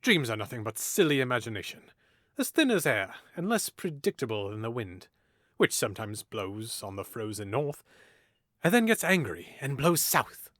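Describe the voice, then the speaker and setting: An actor playing a witty, mocking young nobleman in an audio drama, playful and theatrical, with hushed and loud moments. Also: medium pitch, very animated, normal speed, no echo, clean studio sound.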